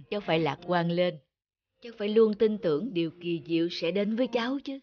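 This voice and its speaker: A woman voicing a kindly old homeless man in a cartoon, gentle, soft-spoken and encouraging. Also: lowish voice, fairly quick, highs cut off, lightly acted. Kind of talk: cartoon dubbing.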